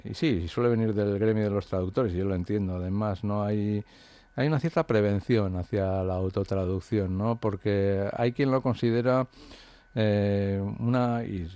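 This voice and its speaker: Author guy from the basque country, thinking out loud, lots of ums, low mellow voice. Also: no echo. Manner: hesitant, reflective, many fillers and restarts. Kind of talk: interview conversation.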